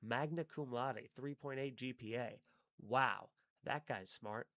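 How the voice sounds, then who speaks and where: A man's voice: mid-range voice, a little slow, a bit bright, no room sound. Profane rambling man, dry sound. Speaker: comedian hosting a live stream. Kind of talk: casual live-stream chat reading chat comments.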